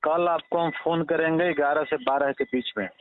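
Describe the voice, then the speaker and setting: A man's voice: fairly high pitch, steady tone, moderate pace, no echo. A man with a Bihari Hindi accent, speaking earnestly and persuasively in a casual, respectful phone conversation. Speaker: father appealing to a reporter. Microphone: telephone. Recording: tinny, narrow-band phone call recording.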